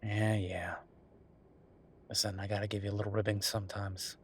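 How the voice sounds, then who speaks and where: A man's voice: fairly low pitch, little pitch movement, normal speed, slight background noise, close to an everyday voice. A man playing an affectionate, teasing werewolf bureaucrat in an intimate romantic audio roleplay, speaking conversationally with murmured asides. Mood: teasing, fond. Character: Viktor, affectionate teasing male werewolf bureaucrat, weary but playful.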